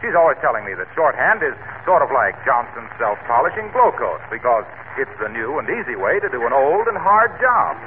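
A faintly hissy, narrow vintage radio recording features an actor as a hearty American salesman. His lilt is very animated and breezy, full of unflappable 1940s sales patter. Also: medium pitch, fairly quick, few pauses, lightly acted. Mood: breezy, enthusiastic. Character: cheerful, unflappable salesman friend, eager pitchman. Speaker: show announcer.

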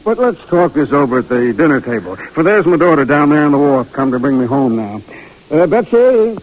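An actor in an old radio drama playing a cautious, good-humored sea captain who hems and hesitates. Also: male voice, fairly high pitch, very animated, brisk, poor audio. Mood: hesitant. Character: cautious older male sea captain, a father. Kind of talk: 1940s radio drama acting.

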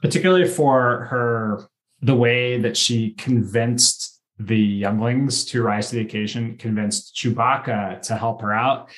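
A man co-hosting a casual fan podcast, telling things in an animated way with mock-quoted dialogue. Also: mid-range voice, a little slow. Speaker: podcast co-host.

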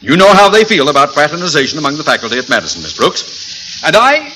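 An actor playing a pompous middle-aged school principal in an old radio sitcom, officious and blustering. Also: male voice, fairly high pitch, wildly swooping pitch, fast, pushed and bright, heavily acted. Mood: stern, awkward. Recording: slightly noisy vintage radio recording.

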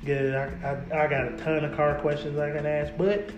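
A man's voice: a little high, little pitch movement, moderate pace, roomy sound, dull audio, over music. A young man hosting a casual car podcast, talking in easy slang, repeating words and laughing often. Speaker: podcast host, local car photographer. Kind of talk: casual chat.

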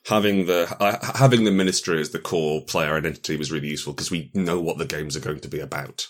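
A man speaking as a guest game designer in a casual interview, rambling in an animated, jokey way. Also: a bass voice, fast.

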